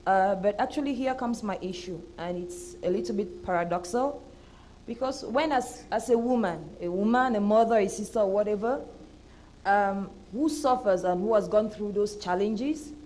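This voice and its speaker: Woman from Burundi, audience comment at a panel, halting and searching, middle pitch, faint background noise. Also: darkish tone, rough recording. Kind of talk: spontaneous comment from the floor. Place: conference hall.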